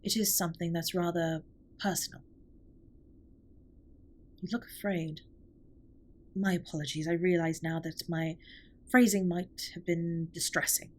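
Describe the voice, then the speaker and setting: Alien spaceship captain played by a woman, audio roleplay. Soft husky voice, slightly low, precise formal diction, gentle earnest care. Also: steady tone. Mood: formal, businesslike, then apologetic, reassuring. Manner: formal, stiffly precise, measured wording. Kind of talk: scripted intimate comfort audio roleplay.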